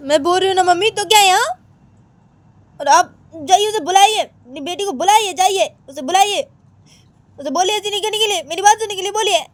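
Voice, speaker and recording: A woman's voice: extremely high pitch, brisk, no room sound, voice pushed up. A woman voicing a sulking young woman who demands to be listened to. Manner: petulant, demanding.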